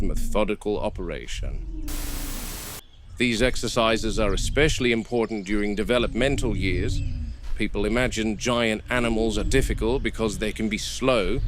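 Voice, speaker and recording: A man playing a serious, seasoned game warden who narrates a field log in a measured, matter-of-fact way. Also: on the low side, close to an everyday voice. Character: serious seasoned male game warden logging work with dinosaurs. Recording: noisy VHS-style field recording.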